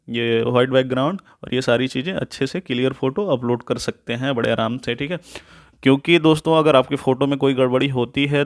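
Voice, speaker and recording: An adult man with a mid-pitched voice works through a tutorial point by point. He reads each item out, then explains it informally in an instructive way, often adding a quick check-in tag. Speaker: tutorial presenter.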